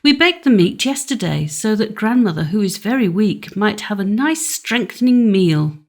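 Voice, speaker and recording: A woman's voice: medium pitch, expressive, normal speed. A woman voicing an innocent, polite little girl in a storybook, sweet and full of naive wonder.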